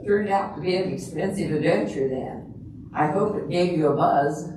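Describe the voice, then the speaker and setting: A woman's voice: lowish voice, normal speed, close to an everyday voice. An older woman playing a chatty, long-suffering best friend in an amateur comedic stage reading, with a dry, punning delivery. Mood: dry, teasing. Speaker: amateur readers theater performer. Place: large echoey room before an audience. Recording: echoey hall from far off, noisy.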